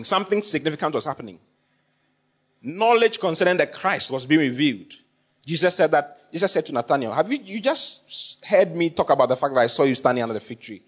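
A male pastor delivers a sermon, his voice sitting a little high and swinging widely in pitch. He drives points home by repeating lines and asking the congregation questions. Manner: call-and-response with congregation, repeats phrases for emphasis.

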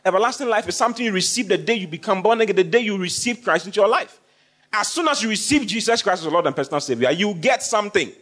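A man's voice: sits high, lively intonation, quick pace, a bit bright. A man preaching a sermon, repeating phrases for emphasis and questioning his congregation. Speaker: pastor.

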